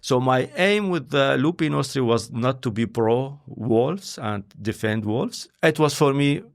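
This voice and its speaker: A man who is a scientist and filmmaker, speaking English as a second language in a halting way, often pausing to search for words. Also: mid-range voice, lively intonation, gravelly. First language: not English. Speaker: interview guest, scientist and filmmaker. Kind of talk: conversational interview.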